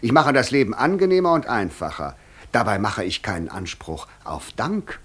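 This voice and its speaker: An actor playing a suave devil posing as a gentleman in a radio play, sly, ironic and theatrically polite. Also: fairly low pitch, very animated, moderate pace, breathy edge, heavily acted. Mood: silky, persuasive. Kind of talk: classic German radio play acting.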